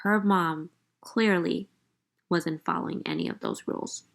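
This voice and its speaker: A woman's voice: fairly low pitch, lively intonation, measured pace, gravelly. A woman teacher leading a read-aloud lesson for young readers, instructive and speaking directly to her listeners. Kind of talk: read-aloud lesson, storybook narration and guided questions.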